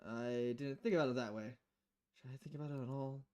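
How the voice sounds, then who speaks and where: A woman voicing a dry, reserved bartender in a visual novel, deadpan and understated. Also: very deep voice, a little fast, pitched below the performer's own voice. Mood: deadpan, curious.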